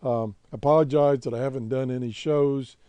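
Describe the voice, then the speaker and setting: A man hosting a casual hobby video, reviewing gear in a halting, rambling way and correcting himself as he goes. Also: medium pitch, fairly even intonation, dark tone, clean tone, dry recording. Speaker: host of a radio hobby video show. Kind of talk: casual product review to camera.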